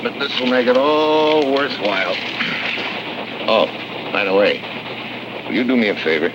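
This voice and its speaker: An actor playing a tough, wry American undercover agent in a vintage 1950s adventure drama, terse and deadpan. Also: male voice, medium pitch, a little slow, muffled recording, noisy background, lightly acted. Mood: relaxed, wry. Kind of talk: 1950s hard-boiled adventure acting. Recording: vintage 1950s TV soundtrack, music behind.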